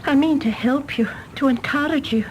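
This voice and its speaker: An actress playing a lonely, easily hurt woman in her thirties, hushed and self-reproaching, in a radio play. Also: high voice, moderate pace, slight background noise, close to an everyday voice, low-quality recording. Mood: hurt, tearful. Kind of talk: British radio play acting.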